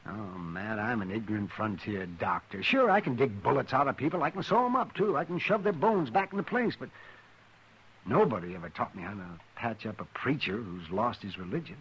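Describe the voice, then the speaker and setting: An actor playing a gruff, wry older frontier doctor with a folksy way of talking in a 1950s radio drama. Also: male voice, fairly low pitch, very animated, fairly quick, a bit bright, heavily acted, low-quality recording. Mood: exasperated. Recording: old radio recording with faint noise.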